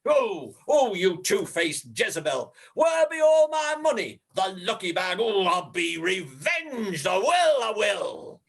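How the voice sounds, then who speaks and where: An actor playing a jealous, blustering young Yorkshire farmer with a broad Yorkshire accent and a husky voice. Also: male voice, high-pitched, huge pitch swings, slow, few pauses, exaggerated voice. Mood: jealous rage. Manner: bluff, blustering, shouting at times.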